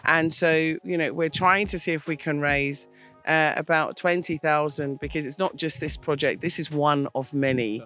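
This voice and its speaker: A woman's voice: sits low, fairly even intonation, normal speed, a bit bright, faint music behind. A woman hosting a casual interview, speaking with enthusiasm and appealing for support. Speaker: host. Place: outdoors on a farm.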